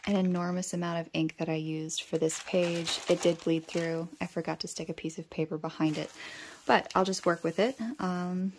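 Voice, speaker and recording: A woman casually narrating as she journals, talking in an unhurried, musing way. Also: lowish voice, background noise. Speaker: stationery vlogger.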